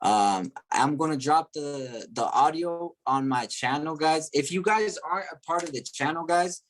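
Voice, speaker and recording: A man's voice: fairly high pitch, unhurried, low-quality recording. Motivational male host from Chile, repetitive and enthusiastic. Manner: enthusiastic, repeating phrases with frequent "okay?" and "right?" tags. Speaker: host of an online group call. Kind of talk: motivational talk to a live online audience.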